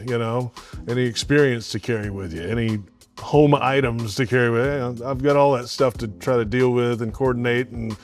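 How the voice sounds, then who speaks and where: A play-by-play radio announcer from Alabama answering interview questions casually, rambling with many fillers and restarts. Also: male voice, medium pitch.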